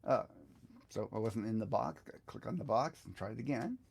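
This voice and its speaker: Gravelly, mellow middle-aged man teaching casually. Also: lowish voice, animated, normal speed. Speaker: guitar teacher giving a software tutorial. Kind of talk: casual step-by-step tutorial with thinking aloud.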